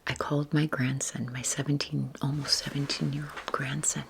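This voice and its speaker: An older woman in her sixties whispers softly and breathily, rambling informally and unhurriedly in a relaxation ASMR style, with a self-deprecating manner. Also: very deep voice, level tone, moderate pace, gravelly, noisy background. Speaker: YouTube video creator.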